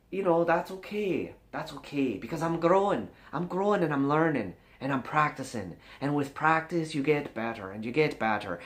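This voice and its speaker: A man putting on a low-key, reassuring male character voice while speaking to camera. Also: fairly bright tone, natural voice. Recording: roomy indoor echo.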